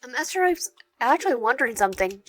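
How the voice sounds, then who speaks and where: A woman's voice: sits high, sing-song, fast talker, voice pushed up. A woman voicing a shy, bookish young woman in a dating game, eager and a little flustered. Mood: nervous.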